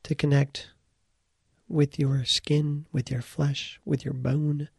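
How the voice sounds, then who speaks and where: A man teaches a guided meditation in a dark, relaxed voice with a heavy gravelly fry. He lays out short, deliberate phrases with pauses between them. The sound is dry, with no room around the voice. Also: fairly high pitch, no echo.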